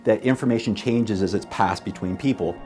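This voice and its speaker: A man's voice: fairly low pitch, a little fast, dark tone, faint music behind. A man, a researcher and former police officer, explaining things in an interview.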